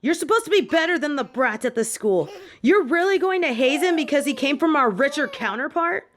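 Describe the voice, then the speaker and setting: A woman's voice: high voice, animated, fairly quick, fairly bright tone, voice pushed up. A woman playing a defiant, hot-headed young witch student in a fantasy audio roleplay, arguing bluntly and sarcastically. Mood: indignant.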